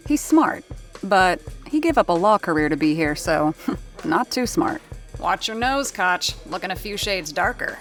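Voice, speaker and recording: An actress playing a weary, wisecracking sound effects artist who narrates with dry humor in an audio drama. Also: female voice, on the low side, expressive, measured pace, faint music behind. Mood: wry.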